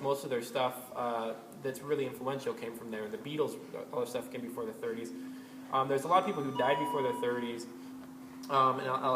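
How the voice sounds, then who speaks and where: Male host, casual rambling delivery, mid pitch, roomy echo, faint background hiss. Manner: rambling, many ums and restarts. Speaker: astrology video host. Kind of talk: casual spoken commentary. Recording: echoey room with faint ambient noise.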